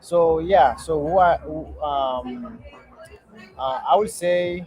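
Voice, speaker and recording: Man, young adult, from Haiti, interview guest, halting, earnest, lots of fillers, speaking from a restaurant. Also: a little high, a little mellow. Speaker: guest entrepreneur. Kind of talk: casual interview answers.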